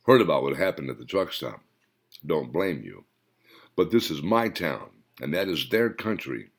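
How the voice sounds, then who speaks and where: Man voicing a stern police captain, low soft voice, firm, authoritative, audiobook. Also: very animated, normal speed, husky, full character voice.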